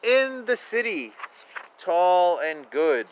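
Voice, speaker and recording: A man reads a children's picture book aloud outside, his voice high and very animated. He takes it slowly in a sing-song way, dropping in casual asides, with clear ambient noise around him. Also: low-quality recording. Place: outdoors. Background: outdoor ambience.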